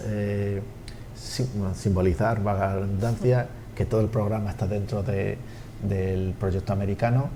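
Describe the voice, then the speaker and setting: A man, a language school director interviewed on TV, speaking haltingly with repeated words and fillers. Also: on the low side, dark tone, a little hiss or hum. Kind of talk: TV studio interview.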